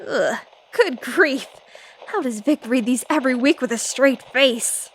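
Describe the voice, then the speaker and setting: A woman's voice: sits high, low-quality recording. An actress playing a feisty, easily flustered young woman reporter in a 1940s-style radio drama. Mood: breezy. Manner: brash, quick to get flustered. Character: feisty young female newspaper reporter, flustered but defiant. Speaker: reporter filling in as radio host.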